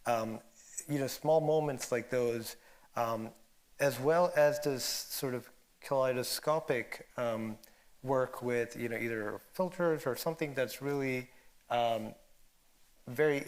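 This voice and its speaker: A man with a medium-pitched voice moderates a panel discussion. He frames reflective questions hesitantly, searching for his words as he goes. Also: measured pace.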